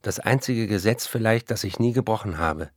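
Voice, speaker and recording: An actor playing a driven, exacting novelist in a radio play, speaking precisely and emphatically. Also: male voice, fairly low pitch, normal speed, noisy background, lightly acted. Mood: resolute. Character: driven, exacting male novelist, defiant in his letters.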